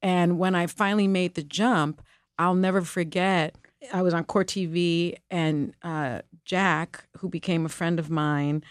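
A middle-aged woman whose first language is Spanish speaks in a candid, animated way, leaning hard on key words. Her voice sits a little low. The recording is close and dry, with no room sound. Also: measured pace, no echo. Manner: animated, emphatic, with laughs and restarts. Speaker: co-host and guest. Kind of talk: candid conversational interview.

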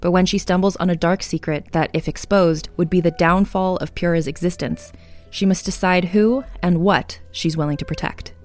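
A woman's voice: on the low side, fairly even intonation, normal speed, darkish tone. A woman reading scripted promotional narration and ads for podcasts. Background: music bed.

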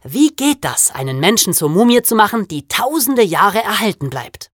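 A woman's voice: mid-range voice, sing-song, moderate pace, dry recording, studio quality. A woman's voice, casually asking questions as a podcast co-host.

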